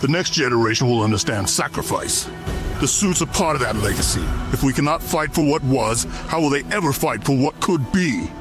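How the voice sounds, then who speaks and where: A man's voice: medium pitch, expressive, music in the background, close to an everyday voice. Man playing a stern, ruthless alien commander. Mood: resolute. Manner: grave, measured, oratorical. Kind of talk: scripted audio drama acting.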